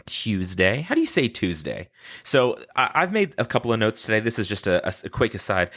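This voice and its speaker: A man's voice: lowish voice, sing-song, fairly quick, a bit bright. A man hosting a podcast in casual, unscripted solo talk, chatty and rambling, with enthusiasm and self-deprecating asides. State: sleepy. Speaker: podcast host.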